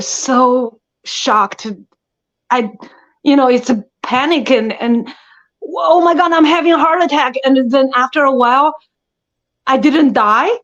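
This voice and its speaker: A woman with a thick Chinese accent, an aspiring actress speaking in an interview, halting and laughing often. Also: high-pitched, fairly bright tone. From China. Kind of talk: interview conversation. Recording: dull lo-fi audio.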